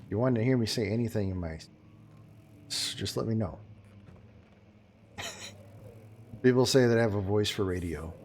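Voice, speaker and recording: A man gives casual live commentary while playing a game. His voice is a bit low, and his talk is loose and chatty, with quick jokes for his viewers. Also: a little mellow, a little hiss or hum. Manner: chatty, joking, talks to chat while playing. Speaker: streamer.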